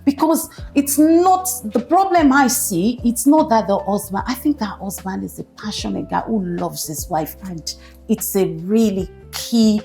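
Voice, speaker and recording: A woman on a discussion panel, talking earnestly and reflectively about marriage, often pausing to search for words. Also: mid-range voice, expressive, vocal fry, warm tone, faint music behind.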